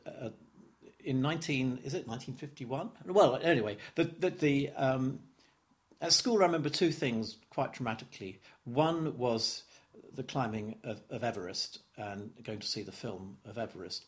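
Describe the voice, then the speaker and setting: A man's voice: medium pitch, moderate pace. An older man in his seventies, from London, looks back on his early years in an oral history interview. He talks in a reflective, stop-start way, tripping over repeated words and chuckling as memories surface. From London, England. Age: 70s.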